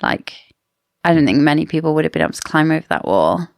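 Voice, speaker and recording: A woman's voice: low voice, very animated, quick pace, no room sound, clean studio sound. A woman co-hosting a casual book discussion podcast, explaining and arguing her points in an opinionated way. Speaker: podcast co-host.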